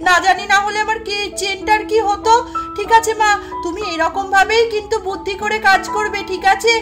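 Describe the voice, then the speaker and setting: Woman playing a fussing, very high-pitched village mother. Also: steady tone, a little fast, music in the background. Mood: firm, kindly. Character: mother, flustered but fair-minded village housewife. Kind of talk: doll-play storytelling.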